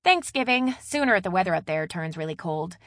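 A woman voicing a bossy, exasperated younger sister in an audiobook, loud, emphatic and full of complaint. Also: fairly low pitch, very animated, fairly quick, dry recording, clean studio sound. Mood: chatty, animated. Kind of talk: audiobook character dialogue.

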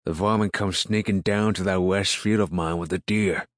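A man voicing a gruff, ill-tempered rural farmer in an audiobook, grumbling in folksy, ungrammatical country speech. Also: sits low, steady tone, normal speed, no echo, clean studio sound, close to an everyday voice. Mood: indignant. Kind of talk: audiobook character dialogue.

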